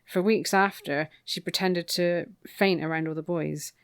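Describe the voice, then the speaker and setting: A woman's voice: on the low side, steady tone, a little slow, clean tone, dry recording. A woman co-hosting a podcast, chatting casually through a recap with wry, opinionated asides. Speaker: podcast co-host. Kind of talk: casual book recap chat.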